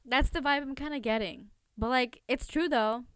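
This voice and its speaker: A woman's voice: sits high, moderate pace, fairly bright tone, clear, resonant tone, no echo. Rambling, informal woman podcaster in dry sound. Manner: informal, rambling, self-questioning, filler-heavy with frequent "like". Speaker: podcast host. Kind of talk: casual chatty true crime podcast commentary.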